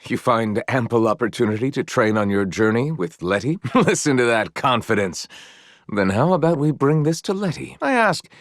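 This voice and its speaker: An actor voicing a courteous, earnest and gently proud young knight in a video game, with formal, eloquent phrasing. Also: male voice, medium pitch, no echo, studio quality, natural voice. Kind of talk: video game character voice lines.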